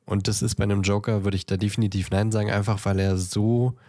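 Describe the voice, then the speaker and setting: A man chatting casually as a podcast co-host, thinking aloud in a halting way as he ranks things. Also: fairly low pitch, level tone, a little mellow, dry recording, studio quality. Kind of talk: casual chat, ranking films.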